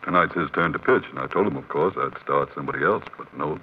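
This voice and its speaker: An actor playing a plain-spoken, weary baseball manager with a halting, folksy manner in a 1950s radio drama. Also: male voice, rumbling low. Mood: halting, regretful. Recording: dull, faintly noisy vintage radio recording.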